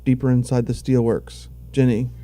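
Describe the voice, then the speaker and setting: A man's voice: mid-range voice, flat delivery, moderate pace, mellow. A man narrating a scripted audio drama, telling the action in the present tense.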